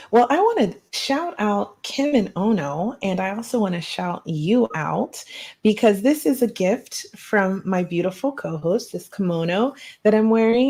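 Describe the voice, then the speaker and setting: A woman co-hosting a talk podcast, effusive and chatty with frequent ums. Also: medium pitch. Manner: warm, effusive, uses fillers like um. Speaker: podcast co-host. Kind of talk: casual chat.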